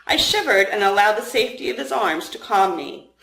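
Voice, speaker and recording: A woman's voice: mid-range voice, animated, fairly quick, vocal fry, a bit bright. A woman reading her own story aloud as a first-person narrator. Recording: roomy, echoing room sound.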